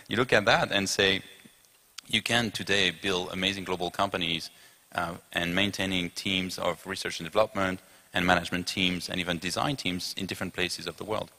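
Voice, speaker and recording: A man with a French accent rattles along on a panel, his very low voice edged with gravel. The tone is bright and forceful, and he restarts phrases as he hurries on. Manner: fast, eager, self-correcting talker. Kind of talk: conference panel discussion.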